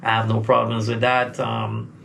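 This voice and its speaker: A man's voice: medium pitch, monotone, moderate pace, vocal fry, fairly bright tone, a little hiss or hum. A man from Trinidad with a faded Trinidadian accent, answering interview questions in a hesitant way and repeating words as he starts. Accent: faint Trinidadian, mostly lost. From Trinidad and Tobago. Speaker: recording artist guest. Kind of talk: casual interview.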